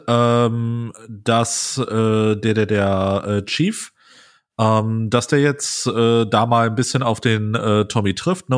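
Hesitant man with many fillers. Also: medium pitch. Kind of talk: casual chat.

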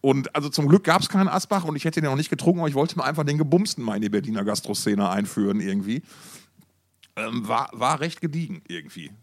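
A man chatting casually as a podcast co-host, excitable, often repeating words and cutting in. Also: medium pitch, fast talker, no room sound, clean studio sound. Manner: excitable, stammering repetitions, interrupting. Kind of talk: casual podcast banter, anecdotes.

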